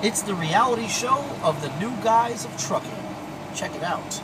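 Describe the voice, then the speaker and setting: A man's voice: high-pitched, expressive, normal speed, heavy background noise. A man hosting a trucker show, chatting casually on CB radio from inside a vehicle.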